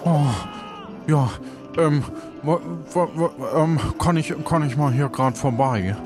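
A man's voice: a little high, slow, over music. A man playing an awkward, evasive pirate crewman who stammers and trails off in an improvised audio adventure. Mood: flustered.

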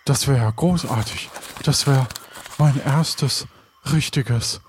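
A man's voice: mid-range voice, slow, noisy background, close to an everyday voice. A man playing a shy, eager pirate cook in an improvised audio drama, hesitant and repeating his words. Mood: moved, delighted.

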